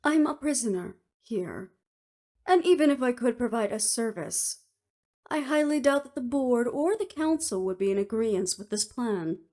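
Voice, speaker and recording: A woman's voice: on the high side, animated, normal speed, darkish tone, lightly acted. A woman voicing a clever, unruffled imprisoned researcher in an audio roleplay, speaking precisely and with slight superiority. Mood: wry, agreeable. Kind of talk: scripted audio roleplay acting.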